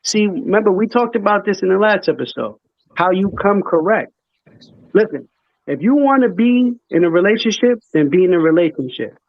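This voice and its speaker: A man's voice: sits high, animated, moderate pace, vocal fry, no echo, lo-fi sound. A man chatting casually and emphatically on a panel, using slang and repeating himself to make his point. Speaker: call-in panelist. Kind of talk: casual opinionated panel chat.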